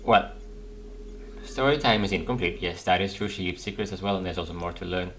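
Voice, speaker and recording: A man's voice: low voice, fairly quick, fairly bright tone, a little hiss or hum. A man giving casual commentary as he plays a game, musing and thinking aloud. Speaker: gaming video host.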